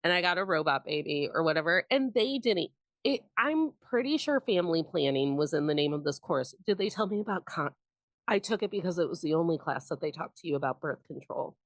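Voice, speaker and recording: A woman's voice: sits low, expressive, a little fast, dry recording. A woman from Indiana hosting a podcast, chatting casually and laughing often at her own stories. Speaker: podcast host. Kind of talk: casual chat.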